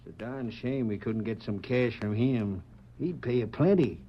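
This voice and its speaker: A man's voice: medium pitch, normal speed, close to an everyday voice. A man playing a greedy, sly frontier swindler with folksy, wheedling talk in an old radio western. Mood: scheming. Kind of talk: 1940s radio western acting. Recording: dull noisy vintage radio recording.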